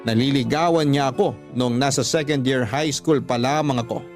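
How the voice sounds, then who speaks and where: A man's voice: medium pitch, moderate pace. A man narrating a scripted first-person love story as a radio storyteller reading a letter. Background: faint music.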